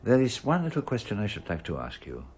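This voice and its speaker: A man's voice: fairly low pitch, huge pitch swings, fast, darkish tone, husky, full character voice. An actor playing a courteous male detective who questions formally and persistently in a radio mystery drama. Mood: polite, probing. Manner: polite, formal, probing questioner.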